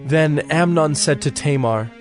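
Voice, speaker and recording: A man's voice: a little high, measured pace. A man giving a dramatic scripted narration of scripture as the narrator. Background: music.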